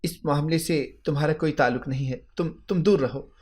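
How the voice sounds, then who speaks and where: A man's voice: a little high, a little fast, a little mellow, lightly acted. A man voicing a frightened older mother-in-law in a dramatic story, stammering, repeating words and pleading. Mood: nervous, defensive.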